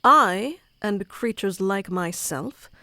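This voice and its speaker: A woman's voice: mid-range voice, animated, takes it slowly, no echo, heavily acted. A woman voicing an obsessive, clingy and powerful female kitsune familiar in an intimate, theatrical ASMR roleplay. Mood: composed, instructive. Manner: intimate, theatrical, swinging between hushed and pleading.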